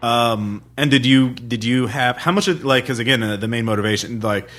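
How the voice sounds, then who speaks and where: A man hosting a podcast, asking rambling, halting questions in a casual conversation about a tabletop game campaign. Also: mid-range voice, fairly bright tone. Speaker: podcast host.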